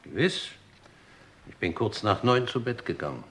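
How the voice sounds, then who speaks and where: An actor playing a gaunt, older English manservant in a radio crime drama, formal, precise and deferential. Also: male voice, on the low side, big pitch swings, moderate pace, fairly bright tone. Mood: earnest. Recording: old radio recording, noisy background.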